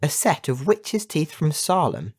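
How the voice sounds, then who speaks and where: Man putting on a cheeky, high-pitched young girl. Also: sing-song, a little slow, breathy edge, full character voice. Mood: uneasy. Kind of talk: children's audiobook character.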